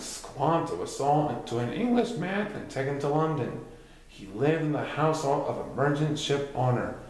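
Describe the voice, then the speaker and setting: A man's voice: fairly high pitch, a little mellow. A man reading a written history story aloud as a narrator, occasionally stumbling over a word. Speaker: reader. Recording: very echoey, reverberant room.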